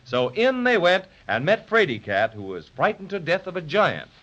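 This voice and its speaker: An actor serving as narrator, a lively storyteller recapping in scripted 1930s children's radio style. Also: male voice, high-pitched, normal speed. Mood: animated storytelling. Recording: dull 1930s radio transcription.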